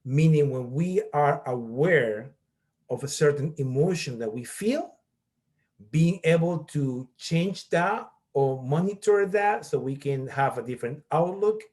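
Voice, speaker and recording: A man's voice: medium pitch, darkish tone. A middle-aged man from Peru who leads a workshop as a college professor, explaining step by step and often checking in with "okay?". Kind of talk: online workshop presentation.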